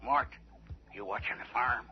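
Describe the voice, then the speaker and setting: An actor playing a bossy, suspicious rural ringleader who questions people gruffly in a 1980s radio horror drama. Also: male voice, mid-range voice, measured pace, lightly acted. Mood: commanding, wary. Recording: dull radio recording with music.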